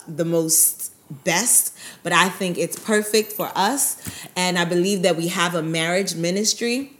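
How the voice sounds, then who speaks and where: A woman from Brooklyn, speaking in a fairly low voice. She co-hosts a faith-based talk show alongside her husband. Her talk is chatty and often returns to "by God's grace". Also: fairly bright tone. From Brooklyn, New York. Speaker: co-host of a ministry show. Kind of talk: casual conversational talk.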